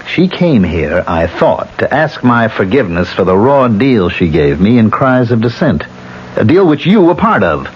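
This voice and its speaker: Irritable suspicious man in old 70s radio drama, lowish voice, jumpy pitch, dull old recording. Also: big pitch swings, moderate pace, a little hiss or hum. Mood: irritated. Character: bitter, volatile man being drawn into murder. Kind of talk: 1970s radio drama acting.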